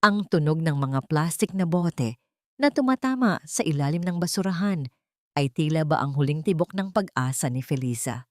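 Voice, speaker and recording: Low-voiced woman narrating a drama. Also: lively intonation, normal speed, a little mellow, dry recording, studio quality. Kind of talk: scripted dramatic audio story narration in Tagalog.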